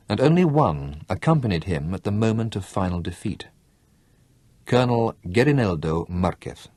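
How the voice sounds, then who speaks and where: Male audiobook narrator, husky low voice, measured pacing. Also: darkish tone, no room sound.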